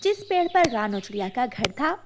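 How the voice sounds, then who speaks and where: A woman narrating a scripted children's animated story. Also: fairly high pitch, moderate pace, noisy background.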